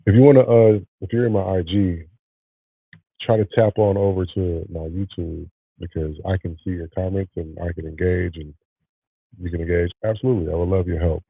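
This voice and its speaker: Low, gravelly male host, congested and tired, relaxed livestream chat, tinny phone-line sound. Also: brisk, dry recording. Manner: relaxed, talks to live viewers. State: nasally congested. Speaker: podcast host. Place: home studio.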